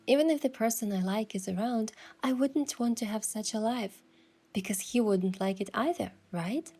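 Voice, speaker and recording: A woman voicing a curious young woman posing as a princess, narrating her own story softly in a measured, wistful way. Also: on the high side, husky. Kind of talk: scripted first-person story narration with acted dialogue.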